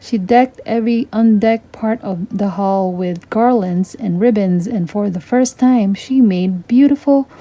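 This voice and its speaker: Female storytime reader, mid pitch, dark mellow tone, children's book narration. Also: a little slow, hardly any pauses. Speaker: storytime read-aloud host.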